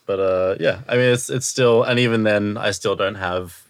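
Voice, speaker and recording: A man, an illustrator and guest on a podcast, talking casually in a halting way with many restarts. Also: fairly low pitch, dry recording. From Australia. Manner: halting, repeats words as he restarts. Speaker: illustrator and product designer, guest. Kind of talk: casual interview conversation.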